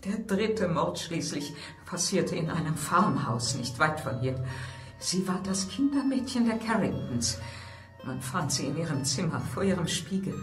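Gossipy housekeeper with swooping intonation. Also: female voice, lowish voice, expressive, a little slow, soft background music. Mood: ominous, gossipy. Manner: confiding, dramatic storytelling. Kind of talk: German mystery radio play acting.

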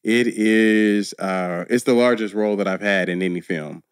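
Guest actor, thirties, low-ish voice, animated storyteller, dry studio sound. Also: male voice, moderate pace, smooth, no echo. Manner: animated storyteller, laughs often. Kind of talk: casual interview chat. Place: podcast studio. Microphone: studio mic on boom arm, headphones.

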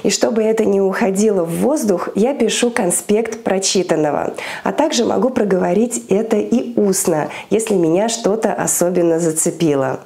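A woman's voice: medium pitch, normal speed, dark tone. A woman in her thirties, a language teacher, giving an upbeat scripted video lesson to camera in a small room on a lapel mic. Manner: upbeat, gesturing with her hands, clear list-like delivery. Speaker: Chinese language teacher and school founder.

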